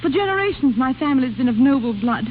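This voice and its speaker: An actress playing a proud grand duchess torn by love, imperious and theatrical, in an old radio romance on a tinny recording. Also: female voice, sits high, animated, fast talker, slight background noise. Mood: proud, wistful. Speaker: radio drama actor. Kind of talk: 1930s radio romantic drama acting.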